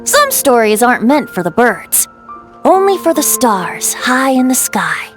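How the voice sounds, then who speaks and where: A woman's voice: fairly high pitch, very animated, measured pace. A woman voicing a youthful male bard spirit in a video game, playful and wistful, with a lyrical, theatrical, teasing manner. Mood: wistful storytelling. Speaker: storyteller. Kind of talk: video game character acting. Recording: music behind the voice.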